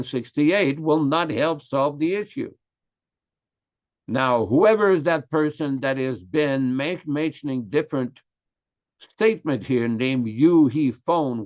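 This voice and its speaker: A middle-aged man gives a devotional lecture in an earnest, insistent way, often repeating his points. His voice sits a little high for a man. The recording sounds muffled and lo-fi. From Quebec, Canada. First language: French. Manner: earnest, emphatic, repeats himself. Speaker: temple speaker. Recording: dull lo-fi recording.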